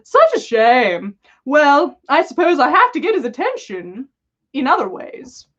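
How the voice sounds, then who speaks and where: A woman voicing a vain, whiny male dark lord in a haughty, self-pitying, theatrical way for a fan fiction story. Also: sits high, huge pitch swings, a little slow, lo-fi sound, voice pushed up, heavily acted. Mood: conspiratorial.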